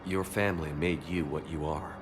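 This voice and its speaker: A steadfast space commander, deep voice, measured n terse, game dialogue w music behind. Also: male voice, steady tone. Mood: gentle support. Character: steadfast male military commander, a resistance-minded space hero. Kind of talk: video game voice acting. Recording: background music under dialogue.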